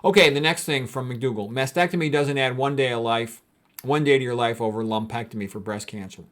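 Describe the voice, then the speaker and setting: A man's voice: medium pitch, brisk, a bit bright. A man gives an informal explanatory talk in the role of a doctor. His delivery is blunt and colloquial, full of conversational asides and firm opinions. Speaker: doctor giving a health talk. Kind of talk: informal explanatory lecture with commentary.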